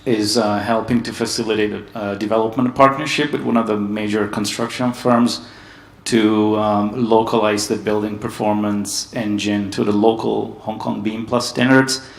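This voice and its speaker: A man from Hungary giving a conference panel talk, steady and hesitant with many ums. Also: fairly low pitch, moderate pace, gravelly, few pauses, echoey room. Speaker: panel speaker. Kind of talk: conference presentation.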